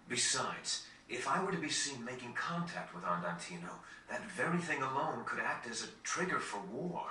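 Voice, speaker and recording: A man playing an earnest, formal noble leader with a troubled, measured delivery in a video game cutscene. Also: medium pitch, pushed and bright. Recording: roomy, distant game capture with faint noise.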